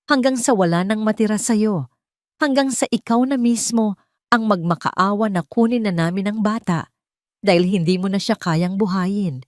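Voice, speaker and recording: A woman voicing a cold, imperious elderly rich matriarch who threatens calmly in an audio drama. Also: mid-range voice, normal speed, dry recording, studio quality, lightly acted. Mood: menacing. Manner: commanding, unflinching.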